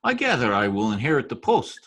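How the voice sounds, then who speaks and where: A man acting as a scheming, politely menacing political climber in a radio drama, formal and insinuating, with a husky voice. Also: mid-range voice, wildly swooping pitch, brisk, a bit bright, full character voice.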